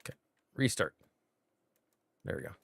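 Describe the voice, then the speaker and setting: A man's voice: unhurried, a bit bright, no echo, studio quality. A man giving laid-back, rambling commentary while livestreaming a game, with many fillers. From United States. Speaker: gaming streamer. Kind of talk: casual gameplay commentary.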